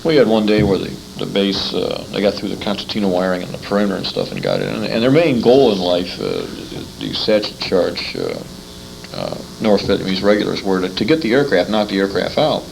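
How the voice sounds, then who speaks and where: An American man with a lowish, gravelly voice talks casually as an interviewer swapping stories. He is plainspoken and often repeats words mid-sentence. There is faint noise on the recording. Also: lively intonation, fairly quick, poor audio. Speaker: interviewer, military veteran. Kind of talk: casual conversational storytelling.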